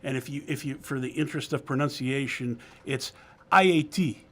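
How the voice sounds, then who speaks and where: A man's voice: medium pitch, moderate pace, a bit bright. An American man speaking as a co-commentator in a conversational political discussion.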